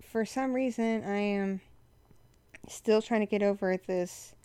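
A young woman with a froggy, hoarse voice from an infection, giving a rambling, frank update to her supporters. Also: medium pitch, takes it slowly, dark tone, no room sound. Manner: rambling, frank, many fillers. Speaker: content creator addressing patrons.

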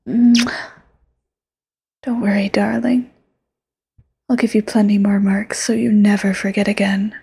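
A woman's voice: mid-range voice, exaggerated voice. A woman voicing an obsessive, possessive immortal lover in a whispered audio roleplay, sweetly menacing. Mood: doting. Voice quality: soft breathy whisper. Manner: intimate, sweetly menacing, sudden flares.

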